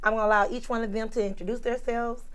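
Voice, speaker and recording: A woman in her forties hosting a casual group podcast chat, upbeat and quick to laugh. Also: fairly high pitch, steady tone, smooth. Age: 40s. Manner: upbeat, laughs easily, exclamatory. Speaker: podcast host.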